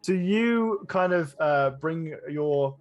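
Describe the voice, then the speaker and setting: A man narrating a tabletop game as game master, improvising in a halting way and often rephrasing. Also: a little high, lively intonation, soft background music.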